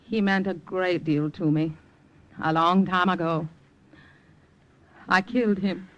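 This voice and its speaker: An actress playing a sorrowful, resolute secret agent in an old radio drama, speaking softly and deliberately. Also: female voice, lowish voice, steady tone. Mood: grieving. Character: sorrowful, resolute female secret agent who poisons her lover. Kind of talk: 1940s-50s radio drama acting. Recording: dull old radio recording, loud music.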